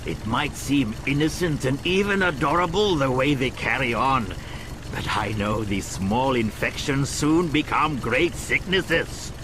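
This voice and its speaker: A man's voice: mid-range voice, expressive, unhurried, background noise, natural voice. A man playing a suave, calculating crime boss in a video game cutscene, speaking in a formal, politely menacing way. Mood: quiet menace. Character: suave, calculating male criminal boss giving missions. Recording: music behind the voice.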